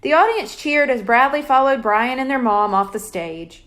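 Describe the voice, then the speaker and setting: A woman narrating a scripted children's storybook as an expressive reader. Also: high-pitched, normal speed.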